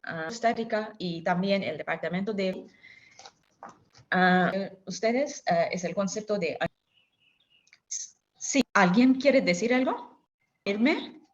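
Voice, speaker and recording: A woman's voice: mid-range voice, slow, pauses often. A woman whose first language is Bengali, opening a lecture over a video call, speaking hesitantly with many fillers and apologies. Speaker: guest lecturer. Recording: dull lo-fi video call audio.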